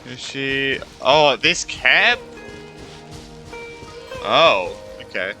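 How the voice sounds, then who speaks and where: A woman's voice: extremely low pitch, big pitch swings, extremely slow. A woman reacting casually as she plays through a video game as a streamer. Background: game music.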